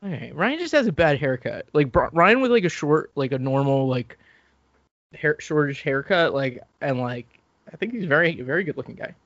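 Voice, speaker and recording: A man's voice: a little high, expressive, moderate pace, a bit bright, no room sound, highs cut off. A man hosting a podcast, recapping TV in casual, rambling, profane banter. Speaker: podcast host.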